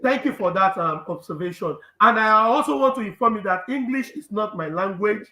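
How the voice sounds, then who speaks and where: A man's voice: high-pitched, expressive, brisk, pushed and bright, reverberant. A man with a Nigerian accent, a native Urhobo speaker, giving an impassioned live speech that repeats phrases for emphasis. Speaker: activist speaker.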